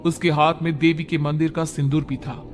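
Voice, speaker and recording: A man narrating an animated horror story in a dramatic, storytelling manner. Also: on the high side, moderate pace, slight background noise.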